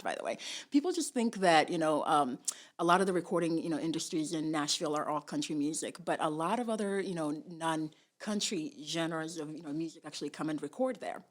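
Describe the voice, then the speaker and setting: A woman from Somalia chats as a podcast guest. Her voice sits low for a woman, and she leans on "you know" and laughs easily. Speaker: guest, immigration attorney. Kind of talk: casual interview chat.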